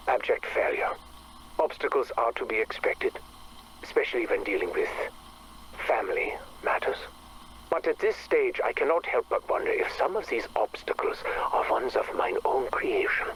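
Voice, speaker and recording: A man playing an obsessive, bitter scientist in a game audio log, speaking formally and precisely with a breathy, brooding delivery. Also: mid-range voice, a bit bright, slight background noise, rough recording. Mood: troubled, grieving. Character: obsessive, bitter male scientist recording private audio logs.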